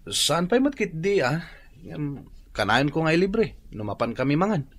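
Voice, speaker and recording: A man's voice: on the high side, sing-song, a little slow, full character voice. A man with an Ilocano Filipino accent playing a sulky, lovelorn son in a radio drama. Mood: sulky, regretful. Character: sulky, lovelorn young man complaining to his mother.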